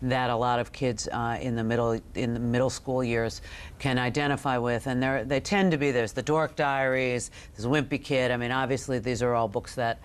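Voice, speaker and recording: A middle-aged woman giving an expert interview as a guest, speaking conversationally and haltingly as she thinks aloud. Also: a bass voice, fairly even intonation, clean tone. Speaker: books editor, interview guest.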